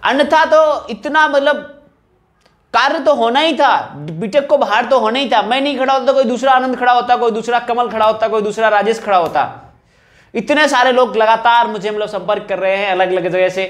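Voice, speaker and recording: A man's voice: very high voice, normal speed, fairly bright tone. A man speaking insistently in an informal update to exam candidates, like a coaching teacher appealing to students. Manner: insistent, repeating rhetorical questions and appeals.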